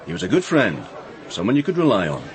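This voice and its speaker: Glib club crony, animated. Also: male voice, medium pitch, quick pace, crowd noise, poor audio. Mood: smooth, dismissive. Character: genial, evasive club crony, smoothly dismissive. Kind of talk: naturalistic radio crime drama acting.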